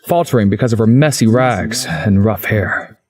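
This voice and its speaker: A man's voice: lowish voice, animated, normal speed, a little mellow. A man narrating a visual novel in the first person, as a story's narrator.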